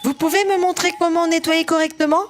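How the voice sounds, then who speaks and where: A woman's voice: very high-pitched, flat delivery, fairly quick, soft background music. A woman voicing a friendly, polite and eager young boy train in a French children's cartoon. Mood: polite, pleading.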